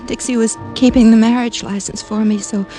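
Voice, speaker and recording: A scheming, worldly carnival dancer played by a woman speaks in a high voice with halting, emotional melodrama. It sounds like vintage radio acting, with faint music underneath. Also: fairly even intonation, warm tone, close to an everyday voice. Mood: weak, fading. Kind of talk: 1940s-50s radio drama acting.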